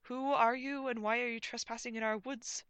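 A woman voicing a very tall, pompous antlered knight who booms out absurd demands in a comic fantasy story. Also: steady tone, normal speed, a bit bright, clear, resonant tone, no room sound, natural voice. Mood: pompous, commanding. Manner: booming, shrill chanting, declamatory.

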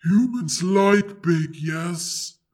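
An actor voicing a calm, pleased and possessive dragon, speaking slowly with a soft husky tone. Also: male voice, sits high, exaggerated voice. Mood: coaxing. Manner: slow, drawn-out, softly husky delivery.

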